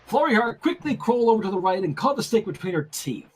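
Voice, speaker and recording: A man reading fan fiction aloud as an animated storyteller, breaking off for casual asides. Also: high voice, fast, husky.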